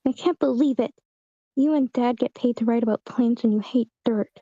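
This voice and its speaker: A woman's voice: fairly high pitch, normal speed, warm tone, no echo. A woman voicing a bored, pestering, defiant young girl in an amateur screenplay table read, on a slightly dull online call. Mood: sulky. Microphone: video call.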